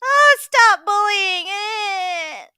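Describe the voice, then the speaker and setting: A woman panelist on a live stream chatting casually in short, drawn-out, mocking interjections. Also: very high voice, animated, bright, forceful tone.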